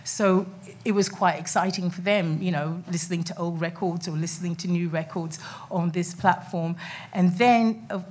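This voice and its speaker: A woman's voice: on the low side, steady tone, normal speed, a little mellow. A middle-aged woman speaking on a festival panel in a conference room, talking chattily with many fillers and restarts. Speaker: panel speaker, documentary maker. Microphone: table mic on stand.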